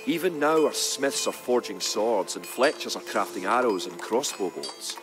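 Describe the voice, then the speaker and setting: A grave clansman of the Middle Ages, acted by a man tells of war in a low voice. He is resolute and solemn, with measured, dramatic storytelling suited to a strategy game. Music plays clearly behind him. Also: animated, lightly acted. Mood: solemn, stirring. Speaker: campaign narrator. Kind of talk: dramatic scripted storytelling narration.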